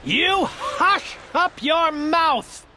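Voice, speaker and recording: A woman voicing a boastful, tyrannical male turtle king in a storybook reading, loud and grandiose. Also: very high voice, lively intonation, very slow, slight background noise, voice pushed up, exaggerated voice. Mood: haughty.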